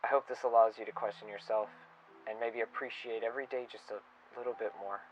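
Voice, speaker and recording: A man playing a reflective, wistful staff member recording an audio log, speaking in a halting, pensive and sincere way. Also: on the low side, fairly even intonation, slight background noise, lightly acted. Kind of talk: audio log monologue with storytelling. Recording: dull lo-fi recording with music. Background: music under the voice.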